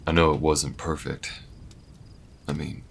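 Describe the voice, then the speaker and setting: Man voicing a very deep, laid-back alien gunslinger. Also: little pitch movement, a little slow, gravelly, a little hiss or hum, exaggerated voice. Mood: reflective. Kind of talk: slow-burn audio roleplay, intimate monologue to listener.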